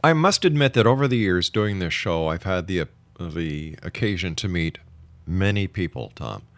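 A man's voice: low-pitched, lively intonation, normal speed, a bit bright. A man hosting an interview show, talking conversationally with his guest. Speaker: radio show host.

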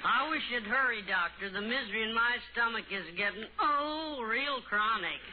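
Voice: mid-range voice, expressive, normal speed, natural voice. A performer playing a folksy, complaining rural housewife with a plaintive, droll manner in an old radio comedy. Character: folksy, complaining rural woman with stomach misery. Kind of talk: 1940s-50s radio comedy acting. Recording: tinny, noisy old radio phone scene.

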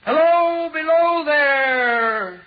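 An actor playing a reporter hailing someone far below in drawn-out calls, in 1940s radio style. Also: male voice, very high-pitched, heavily acted. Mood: calling out. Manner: calling out in drawn-out shouts. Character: reporter hailing loudly from atop a ravine. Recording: dull old 1940s radio recording.

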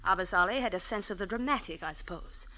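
Actress playing a defiant, scheming young woman; breathy, tense and bright. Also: medium pitch, fast, lightly acted, rough recording. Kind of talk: 1940s radio acting.

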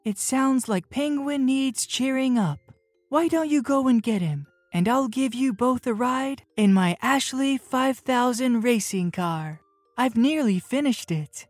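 A woman's voice: sits high, measured pace, darkish tone, no echo, soft background music, voice pushed up. A woman voicing a kindly, playful, inventive mother in a children's storybook.

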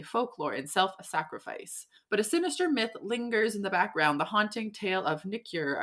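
A woman literary agent reading a letter aloud on a podcast in a measured, expressive way. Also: mid-range voice.

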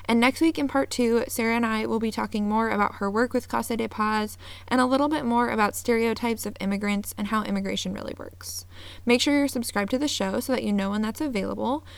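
An upbeat woman hosts her podcast in a bright, fairly high voice. She sounds earnest and eager, and the sound is close and dry with no room around it. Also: vocal fry, smooth, no echo. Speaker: podcast host. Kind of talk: scripted intro then casual interview.

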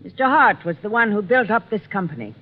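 A woman's voice: mid-range voice, lively intonation, a little fast, natural voice. A woman playing an earnest, stubbornly loyal office employee in a 1950s radio drama. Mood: insistent. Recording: dull 1950s radio recording.

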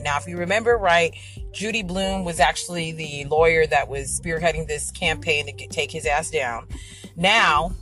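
Raspy lady host, kinda low voice, chatty and blunt, faint music underneath. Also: a bit bright, poor audio. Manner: chatty and opinionated, frank asides. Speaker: internet radio host. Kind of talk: casual talk-radio commentary.

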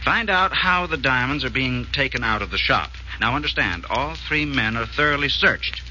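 A man's voice: medium pitch, animated, moderate pace, sharp tone. An actor playing a polite, persistent male insurance agent with a coaxing, hesitant manner, in 1940s radio style. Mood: earnest, explaining. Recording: muffled old radio recording, faint hiss.

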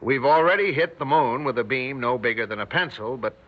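A man's voice: mid-range voice, brisk, close to an everyday voice. Troubled scientist played by a man, mid-range voice, dramatic and reflective, muffled noisy vintage radio play. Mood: keen, curious. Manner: dramatic, reflective, clipped phrases. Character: troubled male electronics scientist narrating his strange ordeal. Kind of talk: 1960s radio drama narration and dialogue.

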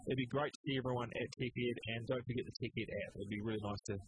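A man's voice: mid-range voice, steady tone, racing, slight background noise, rough recording. A man with a New Zealand accent co-hosting a casual tech podcast, bantering and joking. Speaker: podcast co-host.

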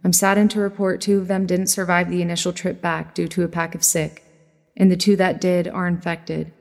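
Audio drama role: weary female leader, raspy voice with heavy fry, solemn weight. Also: on the low side, flat delivery, brisk. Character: weary, burdened woman leader of a survivor camp. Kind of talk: naturalistic audio drama acting.

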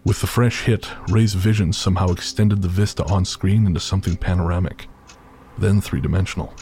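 A man's voice: low voice, fairly even intonation, a little fast, dark tone, slight background noise. A man narrating a scripted literary horror story for an audio drama. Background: faint music.

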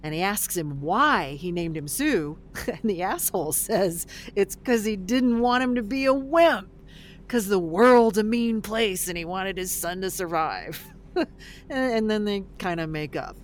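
An actress playing a blunt, skeptical, streetwise bus passenger in an audio drama, speaking colloquially. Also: female voice, mid-range voice, animated, unhurried, natural voice. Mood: blunt, bitter. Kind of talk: audio drama acting, conversational. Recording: faint background ambience noise.

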